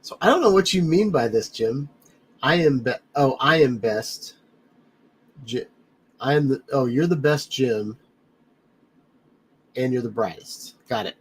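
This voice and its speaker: A man's voice: medium pitch, expressive, a little slow, pauses often, breathy edge, low-quality recording. A man hosting a casual livestream, rambling in a friendly way and greeting viewers by name, his nose a little blocked up. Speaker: livestream host reading chat. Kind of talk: casual live chat. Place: home studio.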